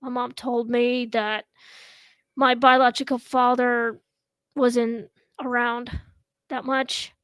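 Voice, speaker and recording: A woman in her thirties from Russia, hosting a casual home chat show and talking in a slow, halting way with many pauses. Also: a little high, little pitch movement, fairly bright tone, clean tone, no echo. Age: 30s. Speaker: host.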